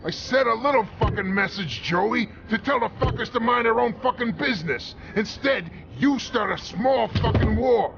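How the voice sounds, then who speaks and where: An actor playing a bossy, hot-tempered mob boss with a domineering, profane manner. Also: male voice, very high voice, animated, moderate pace, gravelly, hardly any pauses, dull audio, background noise, exaggerated voice. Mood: furious.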